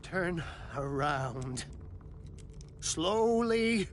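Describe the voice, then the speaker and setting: A woman's voice: on the low side, lively intonation, drawn-out words, slight background noise, heavily acted. An actress playing an armed, distrustful woman confronting an intruder in a dramatic game scene. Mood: threatening.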